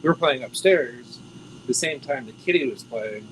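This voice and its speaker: An American man in a band telling a story in a casual, animated way. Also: mid-range voice, moderate pace, background noise. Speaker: band member.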